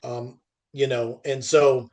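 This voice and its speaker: A man's voice: mid-range voice, little pitch movement, darkish tone. A man in an unscripted industry discussion, restarting phrases often and making points emphatically, posing questions and answering them himself. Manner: halting, repeats phrase starts. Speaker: podcast host and freight industry commentator.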